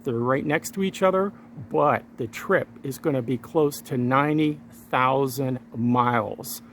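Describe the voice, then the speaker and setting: Gravelly man giving a tech demo. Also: mid-range voice, measured pace, a bit bright, slight background noise. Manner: informal, explains technical steps plainly, addresses viewers as guys. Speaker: hobbyist presenter of a radio and satellite tech vlog. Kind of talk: casual hands-on tech demonstration.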